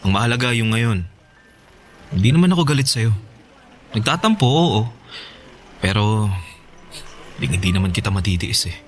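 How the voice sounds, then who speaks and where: Actor as wounded but forgiving Filipino man, low voice, hesitant, soft-hearted, radio drama, faint music behind. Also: expressive, moderate pace, pauses often, natural voice. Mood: resigned, forgiving.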